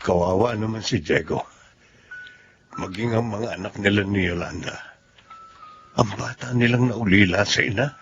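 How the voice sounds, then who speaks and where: A Filipino man playing a gentle, honest family man in a radio-style drama. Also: lowish voice, normal speed, pauses often. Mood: concerned, sad. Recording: dull lo-fi audio with music behind.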